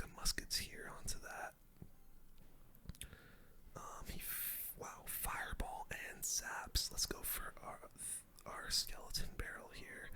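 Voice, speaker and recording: A person whispering casual ASMR gameplay commentary, breathy and unvoiced, full of gamer slang while talking through each move. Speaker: game streamer.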